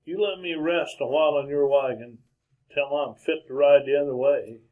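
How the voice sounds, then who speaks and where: An actor playing a weakened but stubborn wounded outlaw, giving orders in an old-time radio western. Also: male voice, on the high side, fairly even intonation, normal speed, narrow, tinny audio, natural voice. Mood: weak, stubborn. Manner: stubborn, commanding though weakened.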